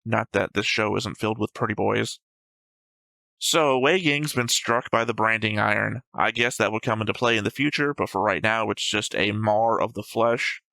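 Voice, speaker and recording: A man's voice: lowish voice, moderate pace, gravelly, bright, forceful tone, no room sound, rough recording. A man co-hosting an anime reaction show, talking through episode notes casually and bluntly, with some swearing.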